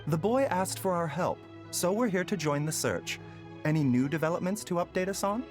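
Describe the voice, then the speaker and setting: Gentle, lilting, courteous doctor. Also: male voice, sits high, lively intonation, faint music behind. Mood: calmly curious. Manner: polite, measured, formal. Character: gentle, courteous, composed young male doctor. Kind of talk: anime game voice acting.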